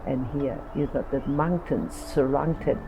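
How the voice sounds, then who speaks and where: Older woman, halting speech. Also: extremely low pitch, fairly even intonation, background noise. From China. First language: Toisanese. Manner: halting, searching for words. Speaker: interviewee. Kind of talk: documentary interview.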